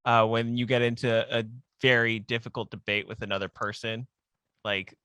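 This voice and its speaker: Brief: offhand, joking male podcast co-host, bright tone, dry studio sound. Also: medium pitch, little pitch movement, moderate pace, clear, resonant tone, dry recording. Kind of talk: casual chat. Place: radio studio. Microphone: studio mic.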